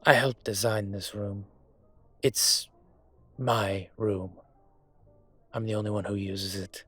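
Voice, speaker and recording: A man acts out a wealthy, gentlemanly dragon mafia boss in a romantic audio roleplay. His voice is husky and on the deep side. He takes his time over every phrase, intimate and gently teasing, as an older suitor would. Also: steady tone, exaggerated voice. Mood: relaxed, proud. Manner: unhurried, courtly, teasing, intimate. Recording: music behind the voice.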